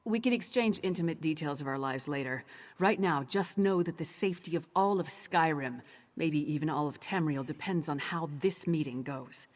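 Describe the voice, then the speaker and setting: Game warrior woman: low, raspy, blunt, familiar, battle-worn and bold. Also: moderate pace. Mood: urgent. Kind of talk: video game character dialogue.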